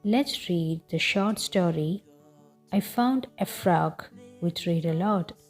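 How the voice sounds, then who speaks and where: A woman narrator reading a scripted story aloud for language learners, with deliberate, clearly phrased delivery. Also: fairly low pitch, soft background music. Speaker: narrator of English learning read-aloud lessons.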